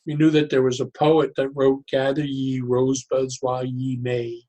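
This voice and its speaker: An older man speaking as an interview guest, telling stories conversationally in a reflective, halting way with pauses mid-phrase. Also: medium pitch, monotone, dark tone. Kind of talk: conversational storytelling.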